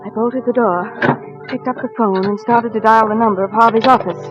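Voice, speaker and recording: A woman's voice: a little high, fairly even intonation, brisk, dull audio, close to an everyday voice. An actress in a 1940s radio drama playing a devoted, anxious housewife who also narrates her own suspense story. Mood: tense, frightened. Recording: old radio recording with music.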